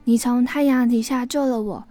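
A small talking snake from a storybook, voiced by a woman, speaking softly and earnestly in a raised voice. It is grateful and protective, a gentle creature of the forest. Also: fairly high pitch, fairly even intonation, moderate pace, pitched above the performer's own voice, lightly acted. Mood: gentle, reassuring. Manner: soft-spoken, earnest.

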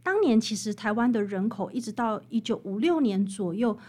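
Female guest author, high voice, Taiwanese Mandarin accent, animated and emphatic conversational explainer. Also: moderate pace. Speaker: guest author, researcher of popular songs. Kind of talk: interview conversation, explanatory storytelling.

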